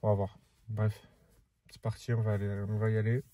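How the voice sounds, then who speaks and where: A man's voice: fairly low pitch, completely flat delivery, a little fast, warm tone, slight background noise. A man recording a casual training diary vlog, chatting and thinking aloud in short bursts. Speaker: amateur triathlete vlogger.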